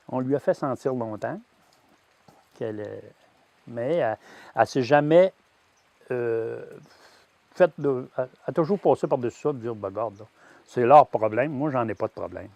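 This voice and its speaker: A man in his sixties with a Québécois French accent, a farmer giving a plain personal testimony, pausing often with fillers and restarts. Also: medium pitch, creaky, darkish tone, no room sound. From Quebec. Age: 60s. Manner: hesitant, many "euh" fillers and restarts. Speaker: grain-fed veal farmer.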